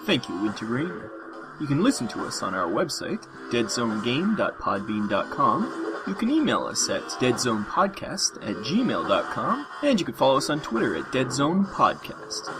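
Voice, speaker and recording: A man delivers a podcast outro as host. His mid-pitched voice moves in a lively way, with music clearly behind it. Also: moderate pace. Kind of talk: outro announcement.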